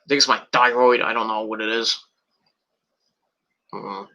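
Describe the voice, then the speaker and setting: A man's voice: on the low side, fairly even intonation, normal speed, pushed and bright, highs cut off. A middle-aged man with a cold and a tickling cough, rambling casually in a vlog with many fillers and restarts. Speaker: wrestling video reviewer.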